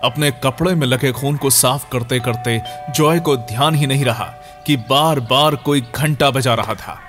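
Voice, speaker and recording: A man narrates a horror story with a dramatic storytelling delivery. His voice sits at a medium pitch, and music plays clearly underneath. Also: moderate pace, a bit bright. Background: background music.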